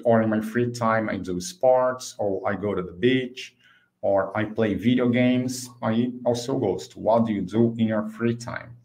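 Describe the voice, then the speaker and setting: A man in his fifties with a Brazilian accent, a native Portuguese speaker, teaching an online English lesson and slowly enunciating example phrases. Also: medium pitch, darkish tone, low-quality recording. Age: 50s. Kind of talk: online language lesson, explaining and modelling phrases.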